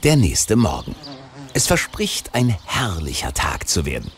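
Deep, dramatic crime-story narrator. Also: male voice, huge pitch swings, unhurried, noisy background, exaggerated voice. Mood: mock solemn. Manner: dramatic, mock-ominous. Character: grave, melodramatic male crime-story narrator. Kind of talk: scripted radio play narration.

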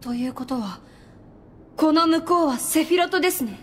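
A woman's voice: high-pitched, animated, moderate pace, a little hiss or hum. A woman acting a composed young male priest in an anime audio drama, formal and questioning. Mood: doubtful, polite.

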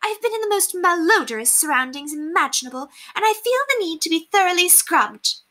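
A woman's voice: very high-pitched, very animated, a little fast, bright, forceful tone, pitched above the performer's own voice, exaggerated voice. A woman voicing a haughty, spoiled young lady of the manor in a storybook, with pompous, affected, emphatic delivery. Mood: impatient, haughty.

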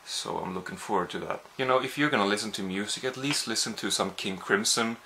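A man's voice: lowish voice, fairly quick, bright, forceful tone, a little hiss or hum. A young man with a Swedish accent, vlogging casually from his small apartment with a handheld camera, rambling and self-deprecating. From Sweden. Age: young adult. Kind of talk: casual vlog. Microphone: handheld camera at arm's length.

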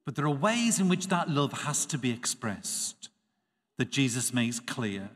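A man, a priest giving a measured, expressive Sunday homily at a church lectern. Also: medium pitch. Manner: measured, expressive preaching with dropping phrase ends. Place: church sanctuary. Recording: reverberant church acoustics.

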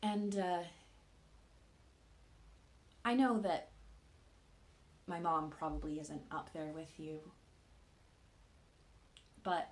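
An actress playing a hesitant, grieving young woman in a naturalistic monologue, praying awkwardly with earnest, halting, tentative speech. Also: fairly low pitch. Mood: sad, longing. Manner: halting, tentative, with long pauses. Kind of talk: naturalistic contemporary dramatic monologue.

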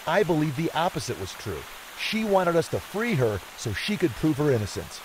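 Lively, worried man in a mystery game. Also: a little high, normal speed, slight background noise, low-quality recording. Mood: worried, urgent.

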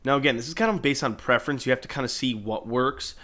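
A man's voice: mid-range voice, fast talker, sharp tone. A man narrating a video editing tutorial in an informal, explanatory way. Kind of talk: casual screen-recorded tutorial narration. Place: indoors, voice-over at a computer.

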